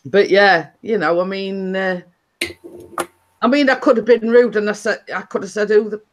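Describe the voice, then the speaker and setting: A middle-aged woman hosts a relaxed chat. She rambles through her story, often stops to chuckle, and uses dialect turns like "were" and "me day". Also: medium pitch, moderate pace, slight background noise. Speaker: host. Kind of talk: casual chat.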